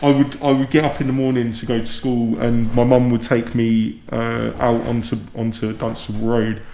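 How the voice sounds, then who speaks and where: A man's voice: mid-range voice, level tone, moderate pace, creaky, mellow, a little hiss or hum. A man from Luton with a southern English accent, chatting informally as a podcast host. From Luton, England. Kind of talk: casual conversational interview. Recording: roomy, echoey indoor sound.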